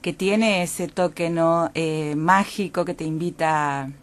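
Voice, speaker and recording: A woman from Chile, a poet, answering interview questions in a calm, thoughtful way with some fillers. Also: deep voice, takes it slowly. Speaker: guest poet.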